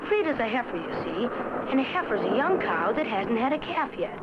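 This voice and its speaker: A woman's voice: on the high side, very animated, normal speed. A woman voicing a chatty, plain-spoken farm boy who reads out his letter in an educational film. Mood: chatty, proud. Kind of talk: scripted educational film narration. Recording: dull old film soundtrack, some noise.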